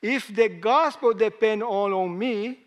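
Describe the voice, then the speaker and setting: A hoarse man preaching a sermon in English, speaking in halting phrases with rising emphasis. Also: high-pitched. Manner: slow, halting delivery with pauses and rising emphasis; non-native English with grammar slips.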